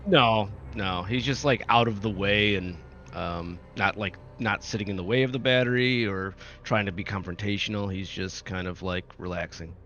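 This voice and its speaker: A man's voice: lowish voice, moderate pace, few pauses, pushed and bright. A man playing a tabletop game, explaining his character's actions in a hesitant, laid-back, casual way. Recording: dull lo-fi mic, faint noise.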